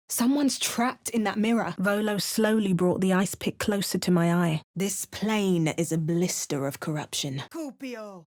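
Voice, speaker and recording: An actress playing a wry, curious spellcasting adventurer in a fantasy video game, with a measured, storylike delivery. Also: female voice, mid-range voice, no echo, studio quality. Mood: wry, pensive, then commanding incantation. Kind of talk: video game character voice lines.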